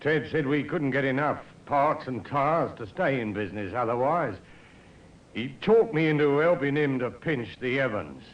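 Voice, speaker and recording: An actor playing a defensive crooked mechanic in an old radio crime drama, with an English accent and colloquial, stammering speech. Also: male voice, medium pitch, lively intonation, moderate pace, highs cut off, natural voice. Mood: sullen confessing. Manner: colloquial, stammering under pressure. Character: defensive crooked car mechanic, blaming his partner.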